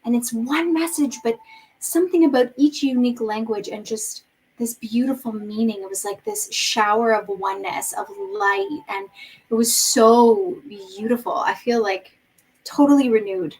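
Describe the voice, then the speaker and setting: A woman's voice: fairly high pitch, moderate pace, a little mellow, rough recording. A woman hosting a livestream, gushing with praise and reading viewer comments aloud. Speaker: host. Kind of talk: livestream talk show chat.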